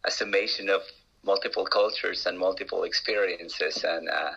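Male interview guest, Peruvian accent, deep and bright-toned, hesitant storyteller. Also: fairly even intonation, normal speed, creaky, breathy edge, lo-fi sound. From Peru. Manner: hesitant, many restarts and uh fillers.

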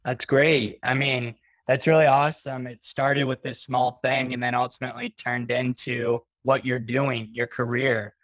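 A man's voice: mid-range voice, level tone, measured pace, hardly any pauses, a bit bright, dry recording, low-quality recording. Upbeat male interview host. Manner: upbeat, encouraging. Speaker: podcast host. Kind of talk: casual interview.

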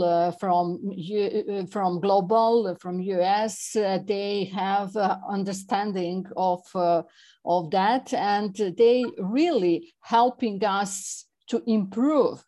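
A woman from Serbia with a Serbian accent, speaking English as a second language as an interview guest, halting with many fillers and restarts. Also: mid-range voice, mellow. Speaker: interview guest, chapter representative. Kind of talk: non-native conversational English interview.

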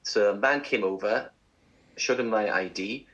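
A man's voice: fairly low pitch, measured pace, a bit bright, dull audio. A man co-hosting a casual radio show, playful and laughing often. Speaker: co-host.

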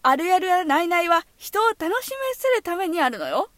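A woman playing a brisk, strict but encouraging schoolteacher of samurai pupils, instructing emphatically. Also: extremely high pitch, sing-song, fairly bright tone, dry recording, heavily acted.

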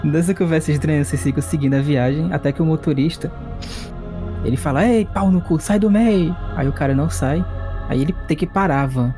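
A man running a tabletop game who narrates in a loose, swearing style. His voice is darkish and mellow, with faint music behind. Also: on the high side, fairly quick. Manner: loose, profane storytelling. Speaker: game master.

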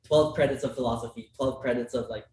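A man's voice: mid-range voice, steady tone, fast talker, a little mellow. Young Filipino man chatting in roomy space. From Philippines. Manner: chatty, many fillers and restarts, laughs often. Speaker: guest, business school student. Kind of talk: casual podcast conversation. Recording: roomy, distant mic, faint hiss.

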